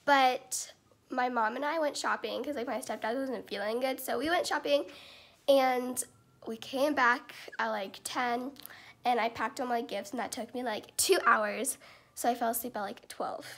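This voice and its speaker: A woman's voice: a little high, normal speed, fairly bright tone. A girl of about thirteen with an American accent, chatting casually to her vlog, often saying "like". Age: 13, young teenager. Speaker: vlogger. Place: indoors at home, bedroom and kitchen. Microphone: camera or phone at arm's length. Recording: noisy handheld home recording.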